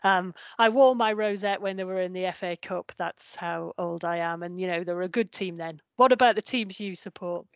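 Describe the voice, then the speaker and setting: Woman podcast host, middle pitch, chatty questions, dry dull audio. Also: moderate pace, few pauses, dry recording. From Newcastle upon Tyne, England. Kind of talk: casual interview chat.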